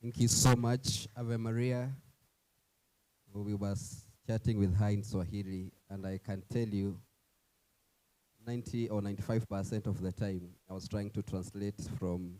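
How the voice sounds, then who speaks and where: Male emcee, second-language English, dark tone, dry sound. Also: fairly low pitch, little pitch movement, dry recording, poor audio. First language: not English. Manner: chatty, with fillers, drawn-out introductions. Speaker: event moderator. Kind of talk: emcee introductions.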